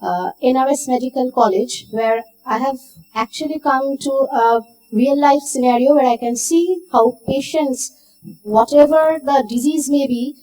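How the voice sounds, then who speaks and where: A woman's voice: high voice, measured pace, mellow, faint music behind. A woman research scientist introducing herself at a conference in a formal, slightly wordy way. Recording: distant mic in a meeting room.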